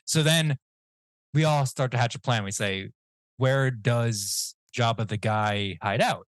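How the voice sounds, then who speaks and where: A man's voice: mid-range voice, slow, no room sound. Joking, rambling man guest. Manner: jokey, rambling storytelling with self-mocking asides. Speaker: guest comic writer. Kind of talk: casual podcast chat.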